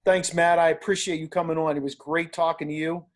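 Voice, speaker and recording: A man's voice: fairly high pitch, level tone, fairly quick. An American man hosting a sports podcast, speaking in a casual interview style.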